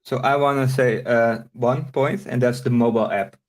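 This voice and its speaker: A man's voice: medium pitch, fairly even intonation. A man from the Netherlands, Dutch his first language, explaining things as an interview guest with frequent hesitant fillers. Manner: explanatory, with many filler pauses. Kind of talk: casual interview.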